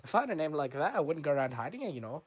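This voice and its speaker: A man doing a gabby, bearded old riverboat captain who won't stop prying. He speaks in a put-on brogue, pitched up high, and rambles along, tacking "you know" onto nearly every sentence. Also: sing-song, very fast, breathy edge. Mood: jovial. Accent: put-on Scottish-like brogue.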